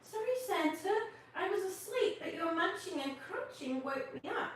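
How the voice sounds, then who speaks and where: A woman's voice: very high voice, lively intonation, moderate pace, heavy reverb, recorded from a distance, a little hiss or hum, pitched above the performer's own voice. A woman voicing a polite, earnest, well-mannered little girl in a storybook reading. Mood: apologetic, chiding.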